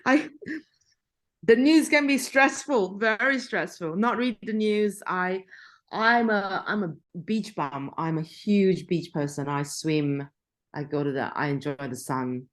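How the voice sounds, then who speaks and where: A woman's voice: lowish voice, a little slow. A middle-aged woman, a journalist, talking casually in an interview with frequent restarts. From Australia. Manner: chatty, frequent restarts and laughter. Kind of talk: interview conversation.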